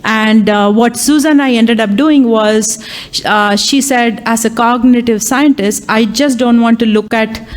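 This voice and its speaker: A woman's voice: on the high side, steady tone, measured pace. A woman whose first language is Tamil, an AI lecturer and workshop chair, speaking live at a conference with many ums, uhs and restarts. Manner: hesitant, many fillers and restarts. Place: conference hall.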